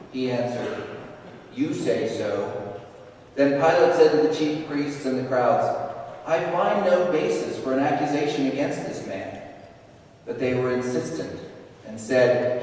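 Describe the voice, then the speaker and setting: A man's voice: mid-range voice, darkish tone. A man giving a solemn scripture reading to a congregation during a church service. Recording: echoey church, distant, faint noise.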